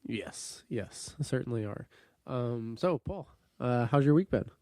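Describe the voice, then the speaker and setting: A man's voice: mid-range voice, measured pace, dry recording, studio quality. A young man with a stuffy, nasal voice from a cold, co-hosting a casual sports podcast with many ums. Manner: relaxed, with frequent ums. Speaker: podcast co-host.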